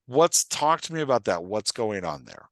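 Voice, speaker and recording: A man hosting a casual coaching conversation, explaining in an animated way and often checking that he is understood. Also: lowish voice, normal speed, no room sound. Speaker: podcast host and writing coach.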